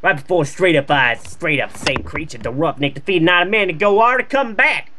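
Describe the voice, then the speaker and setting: A man's voice: a little high, expressive, a little fast, background noise. A man reading fan fiction aloud for comedy, slangy and sweary, with casual jokey asides.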